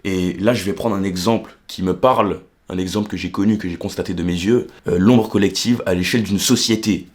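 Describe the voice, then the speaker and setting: This is a young man with a somewhat low voice. He explains things like a vlogger talking straight to the viewer. His speech is casual and colloquial, and he keeps checking that you are following him. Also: steady tone, fairly quick, hardly any pauses, a little mellow. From Martinique. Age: young adult.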